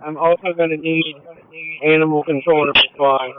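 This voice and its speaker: A man's voice: a little high, steady tone, normal speed. Guy on a crackly two-way radio, thin phone-like sound, short emergency updates. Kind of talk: terse emergency radio traffic. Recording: tinny narrowband two-way radio traffic.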